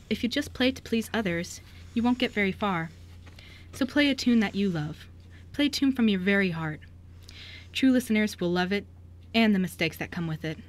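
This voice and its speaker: A woman playing a stern but kindly older music teacher in a radio play, firm, measured and encouraging. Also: medium pitch, close to an everyday voice. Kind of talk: amateur radio play acting.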